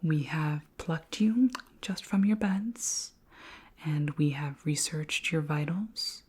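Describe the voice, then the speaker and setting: A woman voicing a curious, gently commanding alien queen in a soft, measured, formal whisper for an ASMR roleplay. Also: low-pitched, husky, heavily acted. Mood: calm, authoritative.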